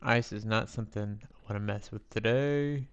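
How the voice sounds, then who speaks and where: A man with a raspy voice giving casual live gameplay commentary, rambling and thinking aloud in a self-deprecating, playful way. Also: on the low side, normal speed, lo-fi sound. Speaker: game streamer.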